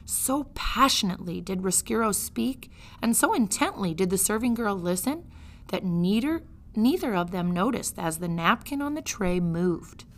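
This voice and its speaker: Female storybook narrator, schoolteacher read-aloud style, expressive, with very lively intonation and mid-range pitch. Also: normal speed. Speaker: fifth grade teacher reading aloud.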